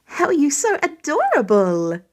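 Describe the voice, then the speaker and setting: A woman's voice: sits high, huge pitch swings, measured pace, voice pushed up, exaggerated voice. A woman voicing a cheery, stubborn teenage boy with an eager, informal way of talking, in a story reading. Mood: excited, doting. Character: cheery, stubborn sixteen-year-old boy doting on baby sister.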